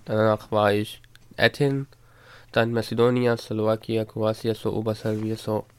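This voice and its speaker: A man's voice: fairly low pitch, flat delivery, measured pace, clean tone. A man in his twenties from Pakistan with a Pakistani accent, speaking plainly in simple sentences in a radio studio. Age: 20s. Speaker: interview guest.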